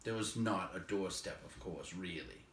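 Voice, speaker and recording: A man reading a story aloud as narrator, with expressive, dramatic phrasing. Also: deep voice, normal speed. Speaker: audiobook reader. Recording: roomy echo, distant microphone.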